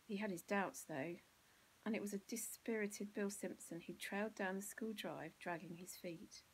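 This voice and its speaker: Husky English woman reading a children's story. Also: medium pitch, normal speed, low-quality recording.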